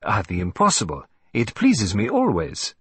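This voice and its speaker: A man's voice: lowish voice, wildly swooping pitch, moderate pace, a bit bright, breathy edge, no room sound, exaggerated voice. A man voicing a fussy, vain older male detective with a put-on French accent, in a precise, self-satisfied manner. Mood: attentive. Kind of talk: audiobook reading.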